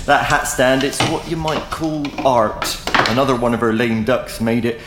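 An actor playing a cheeky, chatty young caretaker in an audio drama, talking in familiar, joking slang. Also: male voice, medium pitch, normal speed, very noisy, lightly acted. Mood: breezy, familiar.

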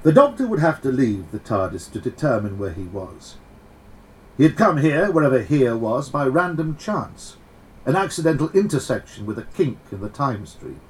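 A man reading a science fiction adventure as a measured, wry storyteller, with deliberate, expressive phrasing. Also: mid-range voice, close to an everyday voice, rough recording. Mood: measured storytelling. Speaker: audiobook reader. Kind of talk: dramatic scripted narration of a science fiction story.

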